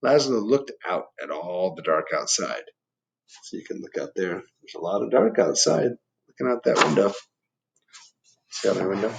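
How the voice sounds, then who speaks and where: A man reading a children's story aloud, expressive, gently prompting young listeners with questions and asides. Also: on the low side, normal speed, vocal fry, slight background noise. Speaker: picture book reader.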